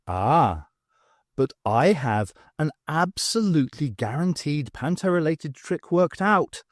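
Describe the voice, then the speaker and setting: A determined, wry adventuress, put on by a man reading a storybook aloud. She speaks slowly and dryly, commanding and quick-witted. The sound is close and dry, with no room around it. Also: sits low, animated, no room sound, full character voice. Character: brisk, determined adventurous woman archaeologist.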